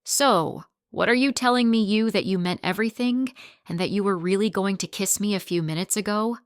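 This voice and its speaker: A woman reading the lines of an angry young wife, yelling and mocking her husband. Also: mid-range voice, fairly quick, clean tone, dry recording, studio quality, natural voice. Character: young wife, furious and sarcastic.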